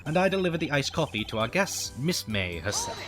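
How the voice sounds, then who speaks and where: A man's voice: medium pitch, big pitch swings, brisk, fairly bright tone, faint music behind. A man voicing an obsequious, formal head bellboy with an over-precise manner in a video game fandub. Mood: assured. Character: eager, obsequious male head hotel bellboy.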